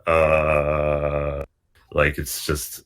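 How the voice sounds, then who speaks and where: Very slow, low-pitched casual critic. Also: monotone. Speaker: panelist giving critique. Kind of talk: casual group chat commentary.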